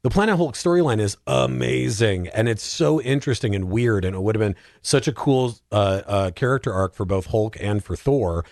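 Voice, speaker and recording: A man chatting casually about films as a podcast guest, animated, opinionated and colloquial in his talk. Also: fairly low pitch, normal speed, vocal fry, few pauses, dry recording, studio quality. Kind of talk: casual film discussion.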